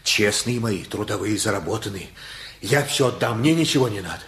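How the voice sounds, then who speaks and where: A man's voice: on the low side, expressive, brisk, fairly bright tone. An actor playing a kindly, simple-hearted older working father in a radio play, folksy, earnest and pleading. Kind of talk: Russian radio play acting.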